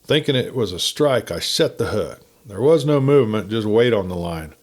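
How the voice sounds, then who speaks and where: A man's voice: on the low side, expressive, normal speed, darkish tone. A man reading a folksy first-person story in a campfire-tale narration style.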